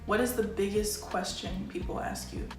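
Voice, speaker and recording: A woman's voice: mid-range voice, fairly even intonation, normal speed. Young American woman chatting in an echoey room. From Chicago, United States. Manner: informal, self-interrupting, slangy. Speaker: vlogger introducing herself. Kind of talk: casual chat to camera. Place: small plain-walled room indoors. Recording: echoey room with music underneath. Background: music.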